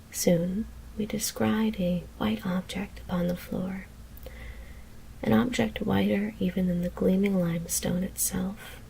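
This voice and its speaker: A woman whispers a scary story in a hushed, deliberate ASMR narration. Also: mid-range voice, gravelly, slight background noise, low-quality recording.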